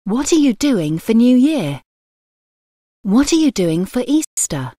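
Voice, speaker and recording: Very slow, lively woman reading phrases. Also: on the high side, no echo, clean studio sound. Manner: very slow, clear, pauses between phrases. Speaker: phrase reader.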